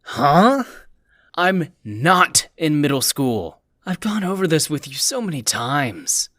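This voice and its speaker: A man's voice: a little high, sing-song, slow pace, bright, forceful tone, no echo, studio quality, heavily acted. A man playing a sardonic, prying teenage boy in an anime dub, teasing and nonchalant. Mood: protesting. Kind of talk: anime fan dub acting.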